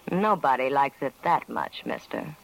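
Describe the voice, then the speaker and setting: An actress playing a tough, defiant carnival dancer in an old-time radio crime drama, snappish and slangy. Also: female voice, sits low, expressive, slow pace, full character voice. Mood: suspicious, curt. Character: hard-boiled, defiant female carnival show dancer under suspicion. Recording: noisy vintage radio recording.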